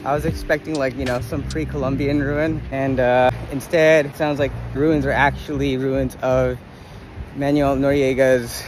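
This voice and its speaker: A man's voice: medium pitch, little pitch movement, normal speed, music in the background, rough recording. A young man narrating a casual travel vlog in a laid-back, informal way, recorded outdoors on a handheld phone. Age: young adult. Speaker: travel vlogger. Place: outdoors, on trails and streets. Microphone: handheld phone at arm's length.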